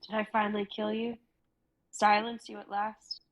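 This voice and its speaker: A woman's voice: mid-range voice, completely flat delivery, moderate pace, dull audio, close to an everyday voice. Woman playing a menacing, vengeful giant. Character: vengeful male giant who despises humans and gods. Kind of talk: live dramatic script reading.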